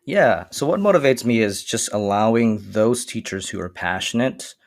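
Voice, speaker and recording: A man whose first language is Vietnamese, a guest on a casual podcast interview, explaining his work in an earnest, steady way. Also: lowish voice, moderate pace, dry recording. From Michigan, USA. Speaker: guest, STEM consultant.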